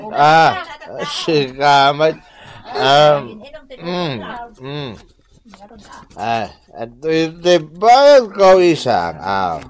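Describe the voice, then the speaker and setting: A sheepish village drunkard in a radio drama, with a Filipino accent. He drags his slurred words slowly, grunting and hesitating, his pitch swinging up and down. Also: very animated, exaggerated voice. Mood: tipsy, demanding. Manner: halting, full of grunts and hesitations. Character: Mang Tokyo, hard-drinking, sheepish village man. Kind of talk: Ilocano radio drama acting.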